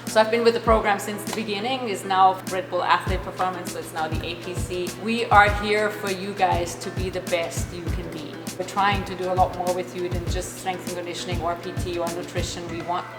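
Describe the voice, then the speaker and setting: A woman's voice: fairly low pitch, fairly even intonation, brisk, few pauses, echoey room, music in the background. A woman from Austria, welcoming and encouraging a group as an athlete program leader.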